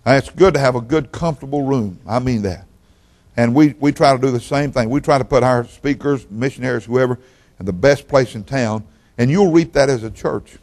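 A man's voice: medium pitch, brisk, a little mellow. An older man preaching as a visiting pastor, folksy and rambling, often repeating his words. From Oklahoma, raised in Texas. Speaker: guest pastor. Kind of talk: sermon.

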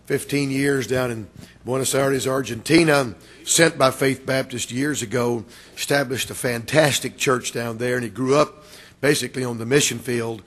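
A man preaching a revival sermon with a raspy voice, building to loud, emphatic, drawn-out delivery. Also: mid-range voice. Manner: rises to loud emphatic preaching, stretched words. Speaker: guest preacher.

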